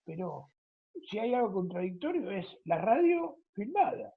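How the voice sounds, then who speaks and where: Husky Argentine woman interviewer, phone-like sound. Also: mid-range voice, sing-song, moderate pace. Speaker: interviewer, radio programme host. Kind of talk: conversational interviewing with commentary. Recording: thin phone-like audio.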